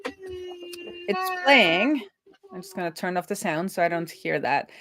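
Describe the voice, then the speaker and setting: A woman's voice: mid-range voice, sing-song, slow pace, bright, forceful tone, no room sound. A woman doing casual live coding commentary as a streaming developer, thinking aloud as she goes.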